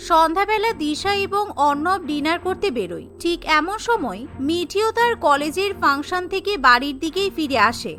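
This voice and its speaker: A woman narrating a scripted animated story. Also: very high voice, normal speed, few pauses.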